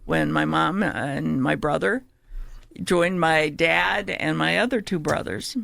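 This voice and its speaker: A woman's voice: low-pitched, slow, vocal fry, dry recording, studio quality. An older woman, born in Greece and raised in the American Midwest, chatting as a podcast guest and telling stories about her life with easy laughter. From born in Greece, raised in Akron, USA. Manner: chatty, warm storyteller who laughs easily. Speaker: guest, retired radio station staffer. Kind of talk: casual interview chat. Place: podcast studio.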